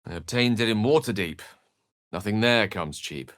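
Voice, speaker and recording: An actor playing an eloquent, wry wizard in a video game, speaking in articulate, theatrical phrases with an earnest streak. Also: male voice, on the low side, expressive, moderate pace, a bit bright, no echo, clean studio sound. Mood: disappointed. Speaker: video game companion character. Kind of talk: scripted video game voice acting.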